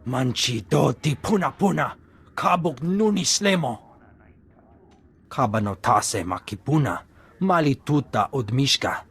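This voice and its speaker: An actor voicing a male alien palace advisor in a video game, declaiming in an invented tongue with a husky voice. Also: mid-range voice, lively intonation, a little slow, fairly bright tone, heavily acted. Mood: grave. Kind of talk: dramatic video game acting.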